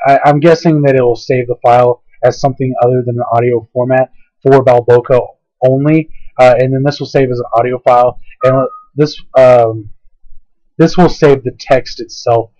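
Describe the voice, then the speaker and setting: A hoarse man casually talking through a software tutorial, rambling and hesitant with lots of fillers. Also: mid-range voice, steady tone, normal speed, no room sound, dull audio. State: hoarse, voice going out. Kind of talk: casual screen-recorded tutorial.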